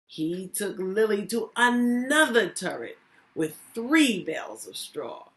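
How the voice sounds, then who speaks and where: A woman hosting storytime, reading a children's story aloud with expressive, deliberate phrasing. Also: mid-range voice. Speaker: storytime host, reading teacher.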